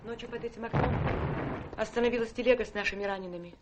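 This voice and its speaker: An actress playing a steady, compassionate army officer's wife in a radio play, speaking gravely and plainly. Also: female voice, on the high side, fast talker, very noisy, close to an everyday voice. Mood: grave, sorrowful.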